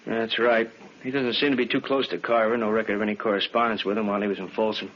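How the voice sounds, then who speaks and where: A man's voice: lowish voice, little pitch movement, fast, lightly acted. A male actor playing a matter-of-fact homicide captain, giving clipped, factual reports in a vintage radio drama. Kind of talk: 1950s radio police drama. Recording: muffled, faintly noisy old radio recording.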